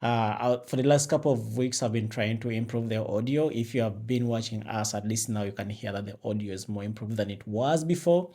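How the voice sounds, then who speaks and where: A man's voice: lowish voice, fairly quick, hardly any pauses, mellow. Ugandan man chatting casually to camera. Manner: rambling, many fillers and restarts. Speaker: vlogger host. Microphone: external mic with phone camera.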